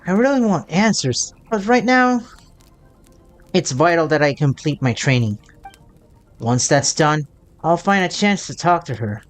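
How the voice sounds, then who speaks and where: A woman hosts a game playthrough in a lowish voice with very animated pitch. She takes her time, commenting and reading lines aloud over game music. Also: measured pace, long pauses. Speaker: gaming channel host.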